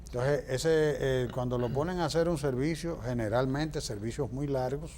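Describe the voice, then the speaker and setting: A man's voice: mid-range voice, moderate pace, darkish tone, slight background noise. A man with a Dominican Spanish accent giving opinionated, explanatory political answers as an interview guest, emphatic and fond of rhetorical questions.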